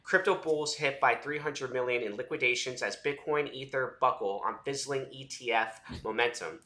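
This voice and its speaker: A man's voice: medium pitch, moderate pace, pushed and bright, echoey room. A man hosting a casual crypto livestream, sounding congested from a cold and laughing easily. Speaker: livestream host reading headlines.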